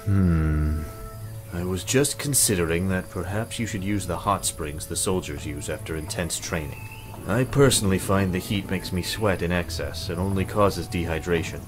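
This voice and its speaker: A man's voice: sits low, moderate pace, over music, close to an everyday voice. A man playing a formal, earnest and socially awkward mage in a visual novel, speaking stiffly and pedantically. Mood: dry, critical. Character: stern, formal, awkward male mage and exacting training partner. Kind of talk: visual novel character dialogue.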